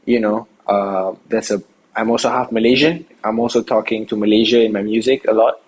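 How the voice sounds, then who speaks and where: A young man from Singapore talks through interview questions in a loose, meandering way, circling back on his words. His voice is on the low side and a little husky. He is recorded outdoors. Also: fairly even intonation, a little fast. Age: young adult. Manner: rambling, many fillers and restarts. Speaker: interview guest, rapper. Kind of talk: casual interview answers.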